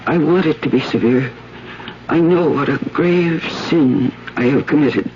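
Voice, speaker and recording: An actress in a 1970s radio drama playing a guilt-ridden woman confessing, with intense, hushed storytelling. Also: low-pitched, a little slow, slight background noise. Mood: resigned guilt. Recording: dull old radio recording, loud music.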